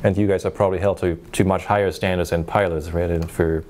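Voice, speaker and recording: Low-voiced middle-aged male interviewer. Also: fairly even intonation, fast, a little mellow. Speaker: host, interviewer. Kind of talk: conversational panel questions. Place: small meeting room, around a conference table.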